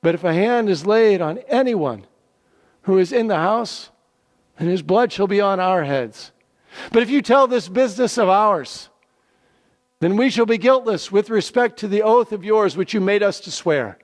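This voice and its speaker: An urgent, insistent spy played by a man declaims his warning in a reverberant hall. His voice is raised high and loud, pressing every phrase in a theatrical scripture style. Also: animated, moderate pace. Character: urgent, insistent pair of male spies swearing terms. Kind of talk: dramatic scripture reading. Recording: echoey hall with long reverb.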